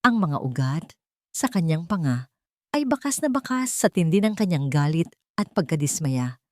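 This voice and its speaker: A woman narrating a scripted dramatic story in a solemn, emotive, measured way. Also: low-pitched, big pitch swings, warm tone, dry recording, studio quality.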